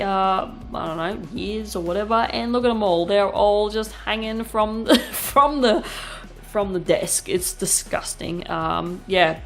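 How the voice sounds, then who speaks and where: A woman with a nasal, sniffly voice, chatting bluntly over her art video with lots of fillers and casual swearing. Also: mid-range voice. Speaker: art channel host.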